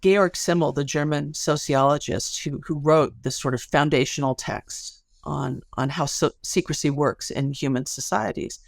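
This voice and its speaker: A woman's voice: deep voice, normal speed, vocal fry, hardly any pauses, no echo, studio quality. A woman speaking as an expert interview guest, explaining things in an articulate, analytical way. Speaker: interview guest, sociology researcher.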